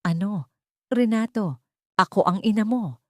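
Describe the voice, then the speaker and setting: A woman's voice: medium pitch, measured pace, no echo, studio quality, voice pushed up, lightly acted. A woman voicing a haughty, angry old rich mother who hurls accusations in an audio drama. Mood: outraged. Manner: shouting accusations.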